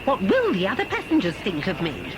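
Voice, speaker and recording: Woman playing a fluttery, fussy wife with swooping pitch. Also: wildly swooping pitch, fairly quick, a loud crowd. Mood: fussing, mildly indignant. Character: fussy, vain, chatty wife on a luxury trip. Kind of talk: audio drama acting. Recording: noisy cassette-era audio drama.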